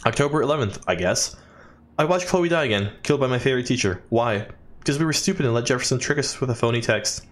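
An expressive male let's player with a medium-pitched voice reads a game character's writing aloud. He stumbles over the odd word and slips in jokey asides as he goes. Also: fast talker. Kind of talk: reading game journal text aloud with asides.

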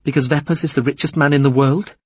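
A man voicing a bitter, defiant young woman, insistent and sharp, in audiobook dialogue. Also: a little high, steady tone, fast, breathy edge, no echo, highs cut off, pitched above the performer's own voice.

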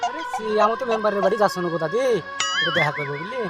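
A man's voice: sits high, expressive, very fast. A man playing an inquisitive, coaxing grandson with a rural Bengali accent, asking questions and cajoling in an amateur village comedy skit. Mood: concerned. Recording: loud music over the dialogue.

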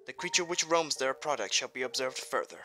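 An actor playing a stern, authoritarian father who rules a dark realm, speaking formally and solemnly in an audio drama interview. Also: medium pitch, a little fast, over music, lightly acted.

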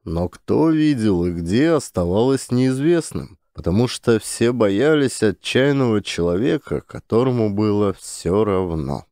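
A man narrating an audiobook in a measured storytelling reading, close to the mic. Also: lowish voice, animated, dark tone, no room sound. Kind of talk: scripted narration.

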